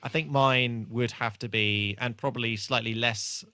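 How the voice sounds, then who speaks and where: A man's voice: mid-range voice, steady tone, moderate pace, pushed and bright, no echo. A man co-hosting a podcast in casual, joking and sometimes sweary banter. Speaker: podcast co-host.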